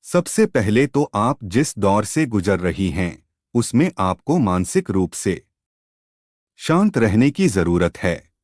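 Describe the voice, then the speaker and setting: A man's voice: fairly low pitch, sing-song, slow, a little mellow, dry recording, studio quality. A man reading scripted relationship stories and advice as a narrator. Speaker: story channel narrator.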